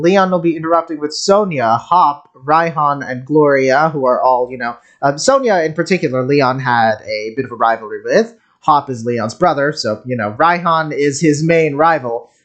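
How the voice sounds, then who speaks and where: A man streaming casual game commentary, excitable and jokey, rambling as he reacts. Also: fairly high pitch.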